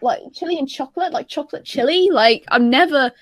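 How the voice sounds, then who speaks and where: A young woman of about twenty with a fairly high voice, recorded dry without room echo. She talks casually as on a podcast, feeling her way through sentences, doubling back and repeating words as she goes. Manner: rambling, halting, repeats herself. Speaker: podcast panelist.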